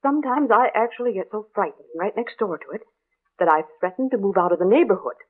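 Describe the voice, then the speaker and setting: A woman's voice: medium pitch, expressive, fast talker, lightly acted. Older busybody woman, played by an actress, dramatic and ominous storyteller, 1940s-style radio acting, muffled band-limited recording. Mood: gossipy. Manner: chatty, exclamatory, ominous storytelling. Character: gossipy, superstitious older female neighbor with rheumatism, dramatic and morbid.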